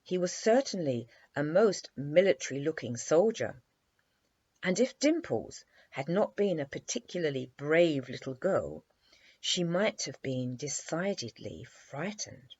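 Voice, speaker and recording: A woman reading a children's bedtime story aloud in an animated, measured storytelling manner. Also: low voice, husky, no room sound. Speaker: storybook reader. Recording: dry, slightly dull lo-fi recording.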